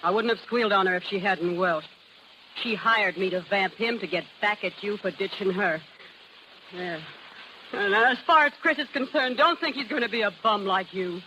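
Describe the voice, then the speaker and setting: A woman's voice: medium pitch, normal speed, lightly acted. An actress playing a tough, worldly carnival girl in a 1930s radio drama, defiant, with brassy slangy talk. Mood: spiteful. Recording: noisy old 1930s radio recording.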